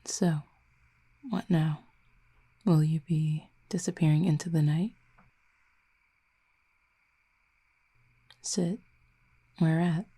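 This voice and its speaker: A woman voicing a calm, curious cupid in an intimate audio roleplay, speaking softly and half-whispered with formal, measured, gently dry phrasing. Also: sits low, heavily acted. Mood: soft, reflective. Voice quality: breathy, soft, half-whispered. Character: calm, curious otherworldly cupid who feeds on feelings.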